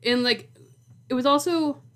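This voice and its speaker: A woman's voice: high voice, a little slow. A woman in her twenties co-hosting a podcast in a casual chat, talking informally with lots of "like". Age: 20s. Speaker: podcast co-host.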